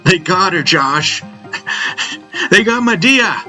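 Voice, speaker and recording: A man voicing an excitable male comrade in an audio drama, calling out urgently. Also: high-pitched, slow pace, soft background music, pitched above the performer's own voice, heavily acted. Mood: elated yelling. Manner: yelling, urgent.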